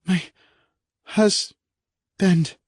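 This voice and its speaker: A man's voice: high voice, fairly even intonation, very slow, a little mellow, slightly rough, no room sound, studio quality, voice pushed up, full character voice. A man voicing a desperate woman crying out for her missing husband in an audiobook. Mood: frantic. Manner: desperate, pleading cries.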